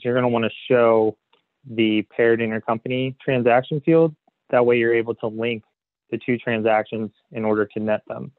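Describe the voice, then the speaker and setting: A man presenting a software webinar as a consultant, explaining slides in a hesitant way padded with "you know". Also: mid-range voice. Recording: phone-like narrow band, roomy echo.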